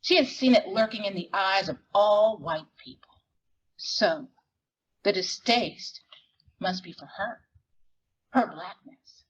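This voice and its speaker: A woman reading literary fiction dramatically, in measured, deliberate phrases with weighted pauses. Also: medium pitch, highs cut off. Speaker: dramatic reader at a library performance. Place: live reading at a library event.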